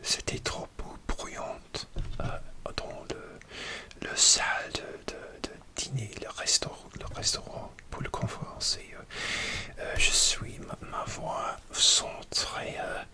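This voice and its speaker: A man whispering a casual ASMR chat, halting and hesitant, often pausing to search for words. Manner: halting, hesitant, many fillers and restarts; slips in grammar, searches for words. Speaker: ASMR creator.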